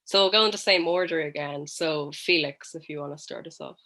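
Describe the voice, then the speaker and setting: A man's voice: a little high, fairly quick, sharp tone. A man with an Irish accent hosting a casual interview over a video call, with lots of ums and short, friendly replies. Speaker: host.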